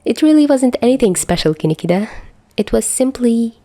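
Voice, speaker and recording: A woman's voice: fairly high pitch, expressive, a little fast, warm tone, pitched above the performer's own voice. A woman voicing a dramatic, smugly teasing teenage schoolboy in an audiobook-style story. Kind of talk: audiobook-style fan fiction dialogue.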